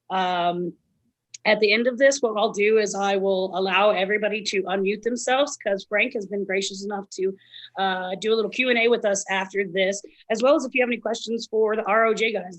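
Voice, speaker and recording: Hoarse woman, event host, chatty with lots of ums, mid-pitched voice. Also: fairly bright tone, rough recording. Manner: chatty, filler-heavy. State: hoarse, lost voice. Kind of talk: casual chat. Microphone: video call.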